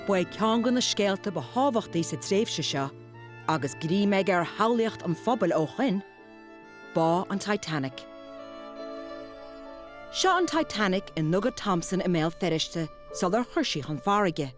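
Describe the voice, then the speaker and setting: A woman's voice: mid-range voice, lively intonation, normal speed. An Irish woman reads scripted documentary narration as a voice-over. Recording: voice-over with music behind. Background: music.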